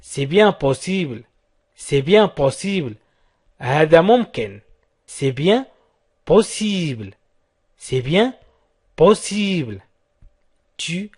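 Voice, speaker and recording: Brief: male language tutor, higher-pitched, husky tone, repeats short phrases for drilling. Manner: repeats each short phrase slowly several times. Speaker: language teacher.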